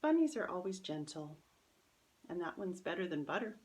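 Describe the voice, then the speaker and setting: A woman's voice: lowish voice, big pitch swings, normal speed, a little mellow. A woman voicing a kindly, whimsical old great-aunt soothing children in a storybook. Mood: gently encouraging.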